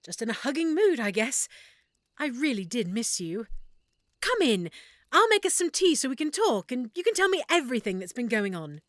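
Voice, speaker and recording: A woman playing an affectionate, excitable half-elf shopkeeper in a roleplay audio drama, speaking expressively and warmly to the listener. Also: on the high side, moderate pace, no room sound. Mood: apologetic, affectionate. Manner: expressive, warm, reacting with feeling.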